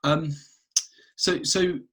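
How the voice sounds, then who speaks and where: A man hosting a podcast, asking halting questions and affirming with repeated "sure, sure". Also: a little high, steady tone, bright, forceful tone, husky, poor audio. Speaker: podcast host. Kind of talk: interviewing.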